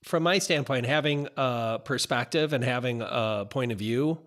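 A man's voice: mid-range voice, moderate pace, fairly bright tone. An American man in his forties chatting casually on a podcast, acting out quoted exchanges as he tells stories. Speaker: podcast host and film director. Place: indoor office, on a video call. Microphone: close boom-arm podcast mic.

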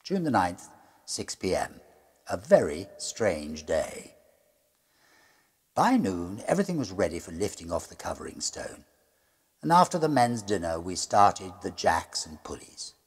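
A middle-aged man narrates a classic horror story with grave deliberation. His voice is very low and breathy, swinging expressively in pitch and often falling toward a hush. Music plays underneath. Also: big pitch swings. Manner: grave, deliberate, hushed at phrase ends. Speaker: storyteller reading a classic ghost story aloud. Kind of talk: dramatic gothic horror narration, read as a diary.

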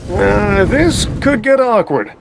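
An actor playing an uneasy park official in a video game, speaking hesitantly and warily. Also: male voice, sits high, lively intonation, takes it slowly, vocal fry, background noise, full character voice. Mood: uneasy, wary. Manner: hesitant, wary. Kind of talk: video game cutscene acting.

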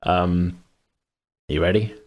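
A man's voice: sits low, steady tone, takes it slowly. A man talking casually as host and game master, in a rambling, hesitant, self-correcting way. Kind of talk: casual chat about a tabletop game.

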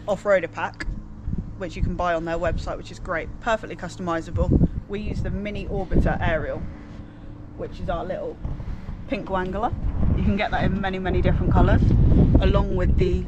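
A woman's voice: lowish voice, muffled recording. A young British woman giving a casual, jokey hands-on tutorial with playful, self-deprecating slang. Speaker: vlogger presenting a car DIY guide. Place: residential street, outside and inside a car. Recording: noisy outdoor car recording.

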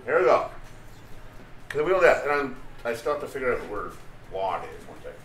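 A middle-aged man leads his friends through a game raid on stream. His voice swings widely in pitch as he jokes around. The sound is a bit roomy, with faint noise behind him. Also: normal speed. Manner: animated, playful, laughs easily. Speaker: streamer hosting a group raid. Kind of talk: casual gaming chat with friends. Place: home streaming room.

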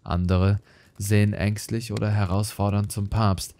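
A man narrating a scripted horror story in a grave, measured, theatrical manner. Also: fairly low pitch, little pitch movement, warm tone.

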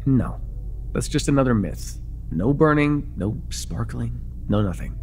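A young man playing an excitable vampire in an immersive roleplay, casual and halting, insistent and teasing as he repeats himself. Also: mid-range voice, faint music behind. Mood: teasing, playful. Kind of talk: immersive ASMR roleplay acting.

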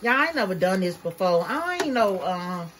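A woman's voice: mid-range voice, sing-song, moderate pace, noisy background. A woman, chatting casually to viewers as she cooks in her home kitchen, calling them y'all. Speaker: vlogger. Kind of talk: casual cook-with-me vlog. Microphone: camera held by someone else.

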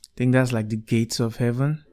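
Young man giving a relaxed VR gallery tour. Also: mid-range voice, moderate pace, clean tone. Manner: relaxed, enthusiastic, repeats "really cool". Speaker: YouTube creator. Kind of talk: casual vlog walkthrough. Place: indoor room, wearing VR headset. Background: soft background music.

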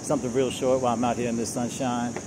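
A man in his forties vlogging on a walk in a park just after his workout, talking in a relaxed, rambling way about how you have to keep moving forward. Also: mid-range voice, fast. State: a little winded after a gym workout. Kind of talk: casual motivational walk-and-talk. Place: outdoors in a leafy city park. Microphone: earbud headset mic, phone held low at arm's length. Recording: loud outdoor noise, insects and traffic.

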